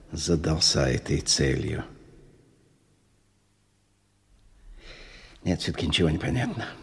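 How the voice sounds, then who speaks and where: Slow, husky, menacing crime boss. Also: male voice, a bass voice, a little mellow, exaggerated voice. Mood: brooding, puzzled. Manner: bullying, interrogating, repeats himself broodingly. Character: menacing, impatient male crime boss, condescending and suspicious. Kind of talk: Russian radio drama acting.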